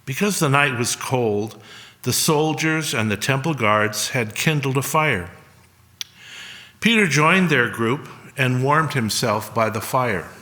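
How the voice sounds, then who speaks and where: A man reading a solemn scripted story in a measured way, with pauses. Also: medium pitch, animated, pushed and bright. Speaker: reader at a church service. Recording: long hall reverb.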